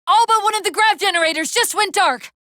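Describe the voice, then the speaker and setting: A woman voicing an urgent, clever military AI companion in a video game, wry and earnest, giving crisp tactical briefings with an undercurrent of strain. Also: extremely high pitch, a little fast, pushed and bright, no room sound, clean studio sound. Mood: urgent, alarmed.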